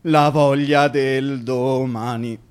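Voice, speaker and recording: A man's voice: medium pitch, steady tone, takes it slowly, clean tone, natural voice. An actor playing a male character in a radio play, chanting and singing his lines theatrically over plucked string music. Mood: wistful singing. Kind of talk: theatrical radio drama, partly sung. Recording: music behind the voice. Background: plucked string instrument music.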